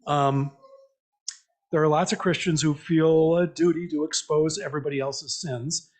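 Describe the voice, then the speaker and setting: A man with a slightly high voice speaks as a lay preacher. He is reflective and conversational, inviting the congregation to think along with questions and short comments. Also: steady tone, normal speed, darkish tone. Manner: conversational, reflective, repeats listeners' answers back. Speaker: lay preacher giving an interactive church message. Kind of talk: interactive sermon, asking the congregation questions. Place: church meeting, speaking to a congregation.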